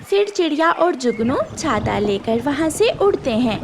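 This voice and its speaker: Lady narrator for kids cartoon story, high voice, takes her time, noisy background. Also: big pitch swings, a little slow. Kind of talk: scripted children's storybook narration.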